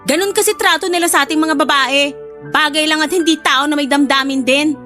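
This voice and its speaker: A woman's voice: sits high, steady tone, brisk, pushed and bright, soft background music. A woman playing a blunt, protective best friend with a sassy, scolding manner in an audio drama. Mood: indignant.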